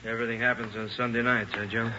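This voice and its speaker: An actor playing a calm, protective hospital doctor in a radio drama, speaking in an understated way. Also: male voice, medium pitch, quick pace, lightly acted. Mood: calm, subdued. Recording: noisy, dull old radio recording.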